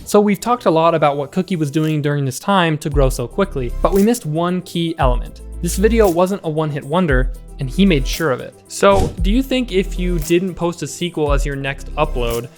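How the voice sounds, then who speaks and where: A young man narrating a scripted video essay with a clear, explanatory delivery, close to a studio mic. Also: fairly high pitch, expressive, normal speed, hardly any pauses, soft background music. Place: home studio room with whiteboard. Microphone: studio mic on a boom, close.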